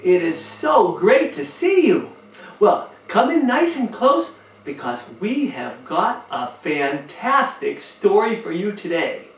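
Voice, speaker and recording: A man reading a children's story aloud in an animated, exaggerated way, chatting directly to young listeners. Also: high voice, slow. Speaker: storyteller, former teacher. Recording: roomy, distant, dull sound.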